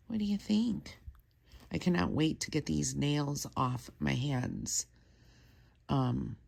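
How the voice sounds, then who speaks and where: A low-pitched woman with a gravelly, creaky voice talks casually into a handheld phone camera in her home kitchen. She is a little stuffy-nosed and rambles along in easy vlog chat. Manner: chatty, rambling, with soft asides. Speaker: vlogger.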